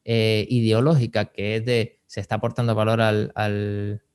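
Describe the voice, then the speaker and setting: A man's voice: lowish voice, level tone, normal speed, a little mellow, clean tone. A man speaking as a podcast host and advisor, explaining things in an informal, conversational way. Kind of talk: casual conversational advice.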